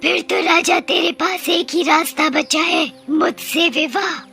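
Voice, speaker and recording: A woman voicing a menacing, demanding witch in a cartoon story, with threatening, drawn-out taunts. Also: extremely high pitch, gravelly, pushed and bright, soft background music, pitched above the performer's own voice, heavily acted. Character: menacing female witch demanding marriage from a king. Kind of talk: dramatic cartoon story voice acting.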